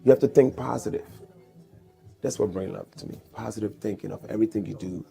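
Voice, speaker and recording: A man's voice: on the low side, quick pace, creaky, warm tone, soft background music. A man who is a stand-up comedian, talking in a loose, jokey, rambling way. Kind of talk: casual riff played as a show clip.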